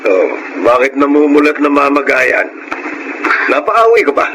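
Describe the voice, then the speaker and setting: A man's voice: on the high side, lively intonation, measured pace. An actor playing a concerned, supportive father in a radio drama, earnest and reasoning, with a Filipino accent. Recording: noisy radio drama audio.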